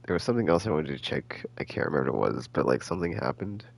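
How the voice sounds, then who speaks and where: A man giving casual, rambling game commentary as a streamer, halting and slangy as he thinks out loud. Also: rumbling low, lively intonation, vocal fry. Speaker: gaming streamer.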